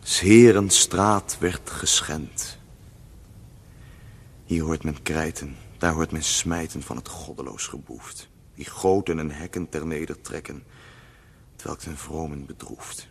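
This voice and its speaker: An actor playing a lonely lovesick young poet in a radio play, speaking rhymed verse in a measured, mournful way. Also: male voice, very deep voice, vocal fry, a little hiss or hum, exaggerated voice. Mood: solemn. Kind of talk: 1970s radio drama verse recitation.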